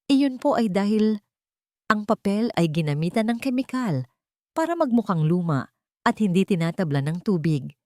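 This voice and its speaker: Woman as a polite, brave Filipino child, expressive. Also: medium pitch, moderate pace, no echo, clean studio sound, lightly acted. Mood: confident, explaining. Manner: respectful, explaining carefully. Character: polite, brave, clever ten-year-old child.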